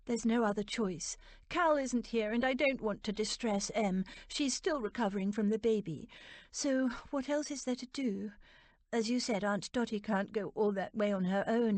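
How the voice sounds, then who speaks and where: A woman voicing a caring, decisive young English lady with a brisk, practical manner in a storybook reading. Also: medium pitch, darkish tone, dry recording, close to an everyday voice.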